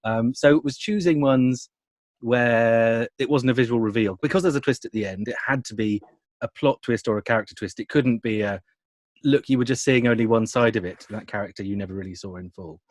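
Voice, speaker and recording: A man's voice: mid-range voice, fairly quick, no room sound. A British man giving conversational, explanatory interview answers as a radio drama producer, with dry humour.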